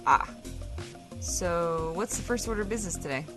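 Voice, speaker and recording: Female guest co-host, played by a woman: blunt, teasing, profane, animated delivery, comedy banter, faint music bed. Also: lowish voice, normal speed. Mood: teasing curiosity. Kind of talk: comedic audio drama, bantering dialogue.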